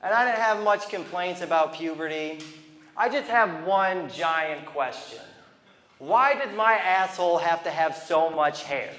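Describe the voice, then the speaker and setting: A man's voice: on the high side, lively intonation, a little slow, a bit bright, in a large hall. A man in his thirties from Ohio with a lisp, doing a stand-up comedy set in a loose, rambling way with asides to the crowd. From Toledo, Ohio, US. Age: 30s. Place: comedy venue with a live audience.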